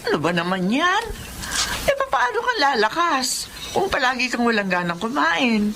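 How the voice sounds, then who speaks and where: Casting: exasperated elderly Filipina mother, radio drama, lively pitch, noticeable background noise. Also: moderate pace. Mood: coaxing, exasperated. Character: harried elderly mother caring for stubborn sick husband.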